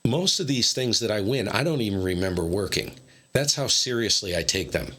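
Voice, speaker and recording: A man's voice: on the low side, animated, a little fast, a bit bright. A plain-spoken, self-deprecating man hosting a casual ham radio live stream, explaining things informally.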